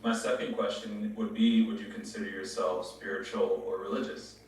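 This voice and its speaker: A man's voice: lowish voice, little pitch movement, moderate pace. A young man answering casually as a dating show contestant, hesitant and polite in manner. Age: young adult. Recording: echoey, distant, faint noise.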